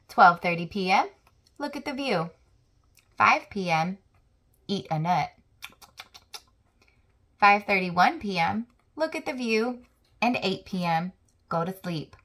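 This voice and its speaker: A woman hosting a children's channel, reading a picture book aloud with slow, expressive storytelling. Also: mid-range voice.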